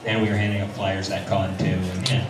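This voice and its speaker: Casting: male panelist, halting casual advice, lowish voice, distant from the mic. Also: level tone. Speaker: convention panelist. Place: convention panel room. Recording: echoey, distant, noisy panel room.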